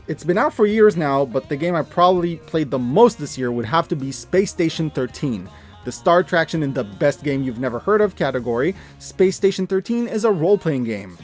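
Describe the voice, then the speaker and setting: A man with a slightly high voice presents scripted recommendations as a review host. His delivery is casual, enthusiastic and emphatic, with some mild swearing. Faint music plays behind him. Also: darkish tone.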